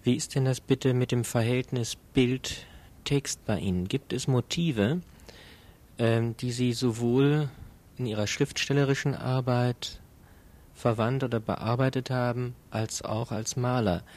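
A male interviewer with an average-pitched voice puts formal questions. His delivery is measured and courteous, in the polite register of a prepared interview. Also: fairly even intonation, darkish tone.

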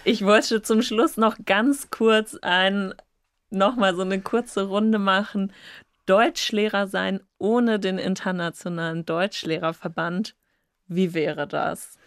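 A woman's voice: medium pitch, steady tone, slow, clean tone. Woman hosting a panel interview. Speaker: host. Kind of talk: interview moderation.

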